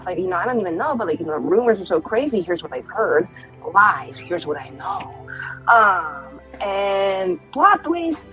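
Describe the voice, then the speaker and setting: Chatty, animated woman player. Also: medium pitch, rough recording. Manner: chatty, animated, acts out quoted dialogue. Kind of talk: casual in-character roleplay chat.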